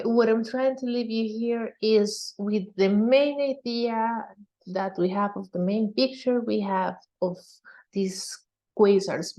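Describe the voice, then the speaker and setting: A woman researcher giving an explanatory scientific talk in a halting manner. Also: mid-range voice, warm tone. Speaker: researcher presenting at a conference.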